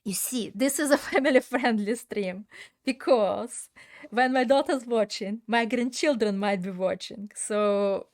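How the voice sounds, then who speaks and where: Older woman, game streamer; slow, chatty, laughs often, fairly high voice. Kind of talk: casual live-stream chat while gaming.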